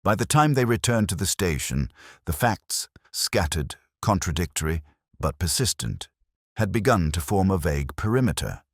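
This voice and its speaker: A man's voice: low voice, sing-song, normal speed, no echo, studio quality. A man reading a detective mystery story as scripted narration. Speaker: narrator and reader.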